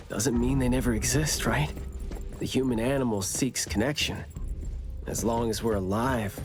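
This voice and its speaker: An actor playing a sensitive, thoughtful young investigator in a video game, expressive and reflective. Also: male voice, medium pitch, natural voice.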